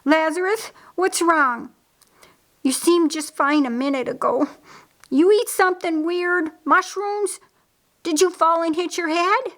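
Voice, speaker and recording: A woman voicing a devoted, bantering sister in a storybook, with expressive, exclamatory delivery. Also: mid-range voice, a little slow. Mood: alarmed.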